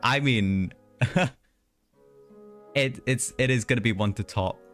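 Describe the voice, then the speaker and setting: A man's voice: medium pitch, slow pace, fairly bright tone. A young man livestreaming a game with casual, chatty, offhand joking commentary. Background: game music and dialogue.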